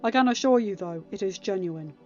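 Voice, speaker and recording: A woman's voice: medium pitch, lively intonation, fairly quick, muffled recording, natural voice. A woman voicing a firm, formal young king presiding over a council in a story reading. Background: music.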